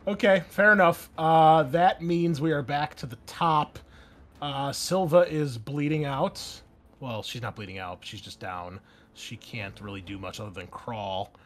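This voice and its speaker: A man acting as game master, talking through rules and narrating casually, often halting as he thinks aloud. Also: medium pitch, fairly bright tone. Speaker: game master of an online tabletop game. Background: music.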